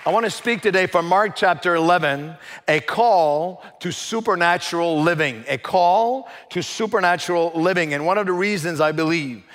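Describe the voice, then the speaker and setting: Male preacher, English rusty, stop-start with humor; a bit high-pitched, big reverberant sanctuary. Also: some chatter behind. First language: French. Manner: halting, with many fillers and restarts; lively, joking with the congregation. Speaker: guest preacher. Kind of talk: church sermon. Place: large church sanctuary.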